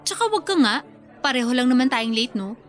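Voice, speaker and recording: A woman's voice: sits high, lively intonation, fairly quick, faint music behind. A woman with a Filipino accent playing a flighty, bossy young bride in a radio drama, breezy and argumentative. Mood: breezy, unbothered.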